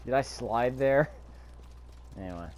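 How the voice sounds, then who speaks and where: A man's voice: mid-range voice, measured pace, darkish tone, slight background noise. Offhand man muttering game commentary. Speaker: video game player recording commentary. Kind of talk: casual let's play commentary. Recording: game sound under the voice. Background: video game ambience.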